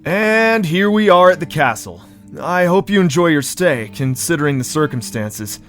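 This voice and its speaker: A man's voice: fairly high pitch, animated, normal speed, fairly bright tone, faint music behind. A man playing an amiable cartoon pony prince with a casual, good-natured and slightly hesitant way of talking. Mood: easygoing, welcoming. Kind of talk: scripted audio drama acting.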